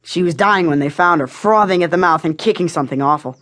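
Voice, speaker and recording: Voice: mid-range voice, fast, a bit bright, natural voice. An actor plays a young American boy of the Old West, about twelve. He is wary and defiant, talking in plain, rustic country phrases. It is dramatic audio Western acting. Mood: aggrieved, earnest. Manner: rustic folksy speech. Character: wary, defiant twelve-year-old frontier boy, son of jailed man.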